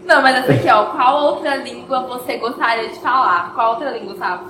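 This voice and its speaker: Lively high-voiced woman hosting in an echoey room. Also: fairly quick. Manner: lively, teasing, asks the questions. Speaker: podcast host. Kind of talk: casual chat. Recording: echoey room with background noise.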